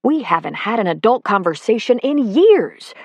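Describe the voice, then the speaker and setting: A woman's voice: fairly high pitch, big pitch swings, normal speed, a little mellow, no echo, voice pushed up. A woman reading the part of a fed-up middle-aged husband who is walking out, blunt and exasperated, swearing. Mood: angry.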